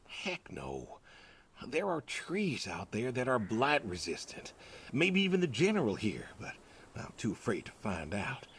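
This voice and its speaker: An actor playing a lonely, aging pig farmer, gentle and resigned, speaking hesitantly and wistfully in a naturalistic game performance. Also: male voice, medium pitch, huge pitch swings, a little slow, slight background noise, exaggerated voice. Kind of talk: naturalistic narrative game voice acting.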